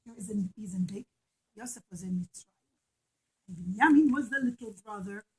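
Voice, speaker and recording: A woman's voice: mid-range voice, fairly quick, mellow, slightly rough, rough recording. A woman storyteller narrating a puppet show to children in simple short phrases with emphatic repetition.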